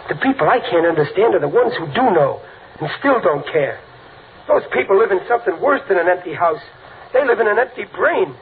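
Bashful American working man, earnest and folksy, swooping pitch, 1940s radio comedy, thin narrow-band sound. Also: lively intonation, a little fast. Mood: earnest, gentle. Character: kindly, plain-spoken, bashful working man, easily spooked. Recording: tinny, band-limited old radio with faint noise.

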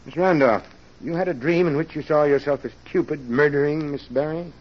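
A man's voice: fairly high pitch, brisk, close to an everyday voice. An actor playing a fussy, inquisitive male professor in a 1940s radio drama, pompous and theatrical in his phrasing. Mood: intrigued, pompous. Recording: dull, lo-fi old radio recording.

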